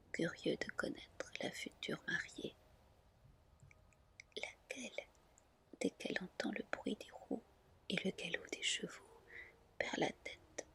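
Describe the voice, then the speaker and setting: A woman reading a fairy tale as an ASMR storyteller, in a gentle, soft, deliberate whisper. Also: medium pitch, gravelly.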